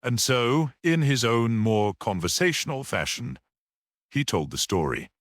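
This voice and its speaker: A man reading a literary short story aloud as an audiobook narrator in an expressive narrating style. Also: mid-range voice, unhurried, no room sound, clean studio sound.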